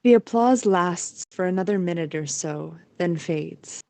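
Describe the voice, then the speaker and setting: A woman's voice: lowish voice, a little slow, creaky, dark tone, no echo. A woman narrating a scripted audio drama as its storyteller.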